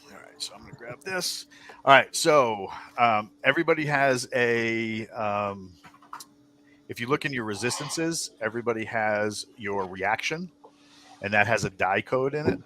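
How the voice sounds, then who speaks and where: A man with a bright, forceful voice at an average pitch runs a tabletop role-playing game. He describes scenes off the cuff, pausing to find his words and throwing in vivid detail. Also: slight background noise. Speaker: game master. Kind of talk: improvised tabletop game narration.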